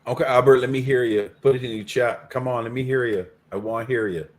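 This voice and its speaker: Man, co-host, casual match chat, argumentative, repeats himself, mid pitch. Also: steady tone, fast. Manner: argumentative, repeats his point. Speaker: football fan show co-host.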